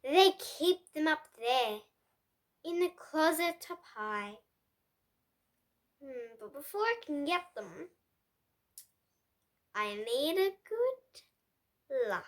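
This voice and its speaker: Child playing a greedy, very high-pitched storybook pug. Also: sing-song, slow, long pauses, fairly bright tone, exaggerated voice. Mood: scheming. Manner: scheming, boastful. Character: greedy, selfish, sneaky male pug dog.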